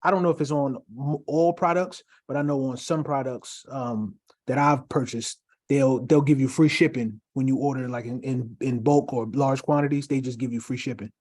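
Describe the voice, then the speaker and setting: A man co-hosting a casual live chat, upbeat and encouraging. His voice is warm and mellow, and he talks through plenty of ums and uhs. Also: mid-range voice, fairly even intonation, moderate pace, few pauses. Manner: upbeat, encouraging, many fillers. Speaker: co-host.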